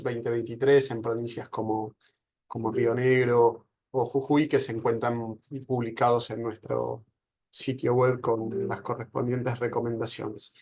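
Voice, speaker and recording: A man moderating a formal event, introducing the next speaker in a measured way. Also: medium pitch, a little mellow. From Argentina.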